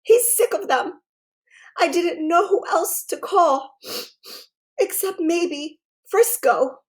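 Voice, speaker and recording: Woman as a high, tearful schoolgirl, sniffly and pleading. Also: expressive, takes it slowly, heavily acted. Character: tearful worried fifth-grade girl with cats.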